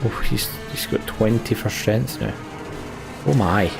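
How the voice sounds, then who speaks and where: Low, slow, gravelly male gamer. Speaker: let's play streamer. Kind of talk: casual game commentary and reading dialogue aloud. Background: game music.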